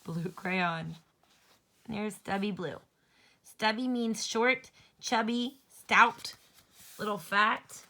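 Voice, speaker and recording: A woman's voice: medium pitch, fairly even intonation, drawn-out words, pauses often, pushed and bright. A woman teacher reading a children's storybook aloud, expressively, and explaining things in simple terms.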